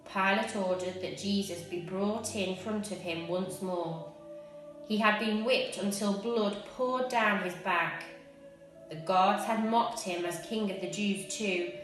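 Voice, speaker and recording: A woman reading a story aloud to children with clear, steady storytelling. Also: mid-range voice. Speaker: story reader. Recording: very echoey room with faint music.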